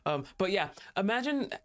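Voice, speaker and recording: A young woman streaming a game, talking casually, laughing often and swearing freely. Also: medium pitch.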